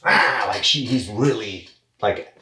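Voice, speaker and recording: A man casually directing a recording session, encouraging and halting as he thinks aloud. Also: mid-range voice, big pitch swings, crowd noise. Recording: roomy echo with faint noise.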